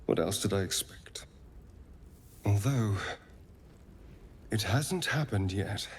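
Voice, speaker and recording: A sly, suave elf rogue with an English accent, speaking in a silky, haughty way. The delivery is theatrical and slow, rising and dipping freely, as in a fantasy video game. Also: male voice, on the low side, lively intonation, a little hiss or hum. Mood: bitter, musing. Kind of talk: video game character acting.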